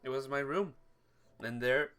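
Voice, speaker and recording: A man reads visual novel text aloud as he plays through the game. His voice is bright and forward, with faint music behind it. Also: mid-range voice, unhurried, clear, resonant tone. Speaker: playthrough narrator.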